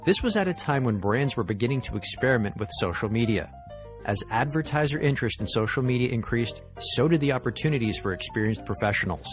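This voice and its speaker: A man's voice: medium pitch, expressive, fast, soft background music. A man hosting an interview podcast, delivering introductions and questions to a guest.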